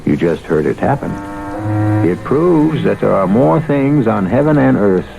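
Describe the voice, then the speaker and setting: A man hosting a vintage radio mystery, narrating in a dramatic, portentous way with deliberate phrasing. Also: fairly low pitch, very animated, gravelly. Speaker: radio drama host and announcer. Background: loud music.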